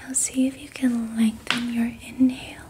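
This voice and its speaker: A young woman whispering gently and reassuringly in a guided ASMR relaxation, leaving long pauses. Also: a little high, flat delivery, background noise. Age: young adult. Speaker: ASMR host doing a healing roleplay. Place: small indoor room at night.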